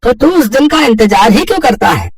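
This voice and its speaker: Persuasive matchmaking friend, put on by a man: very high, husky, helpful, cartoon moral tale. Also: very animated, a little fast, distant mic, exaggerated voice. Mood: coaxing. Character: scheming helpful male friend giving matchmaking advice.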